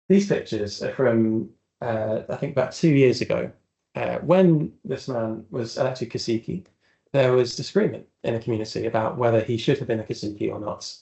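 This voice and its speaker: A man of ordinary, middle pitch presents his research in a university seminar room. He speaks in an earnest and reflective way. He chooses his words with care. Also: a little mellow. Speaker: academic speaker. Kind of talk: seminar talk with slides.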